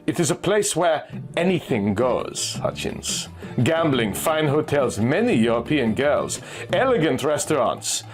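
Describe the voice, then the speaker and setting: A man's voice: a little high, sing-song, unhurried, faint music behind. A man voicing a cool, scheming middle-aged Austrian geologist in a pulp adventure story, speaking with casual persuasion. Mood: persuasive.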